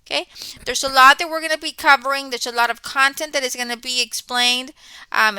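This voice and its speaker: A middle-aged woman teaches in a high, very bright voice with crisp, clean tone. She addresses students enthusiastically and explains things step by step, semi-scripted, in a dry close space. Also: no echo. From born in Mexico, grew up in Texas, USA. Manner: enthusiastic, explanatory, often checking in with okay. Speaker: college accounting instructor. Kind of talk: welcome announcement to students, semi-scripted talk.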